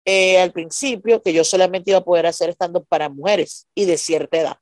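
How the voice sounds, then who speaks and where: A woman's voice: lowish voice, brisk, darkish tone, dry recording, studio quality. A woman with a Venezuelan accent from Maracaibo, talking as a podcast guest in a conversational, explanatory way and often checking that she is understood. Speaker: podcast guest, stand-up comedian. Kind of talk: conversational interview storytelling.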